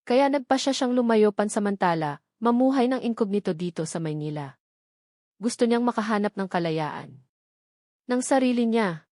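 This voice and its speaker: A gentle older godmother voiced by a woman in a romantic audio drama. She is soft and soothing, with a worried, confiding manner. Her pitch is mid-range and the sound is dry. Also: expressive, normal speed, no room sound, natural voice. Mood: gentle explaining.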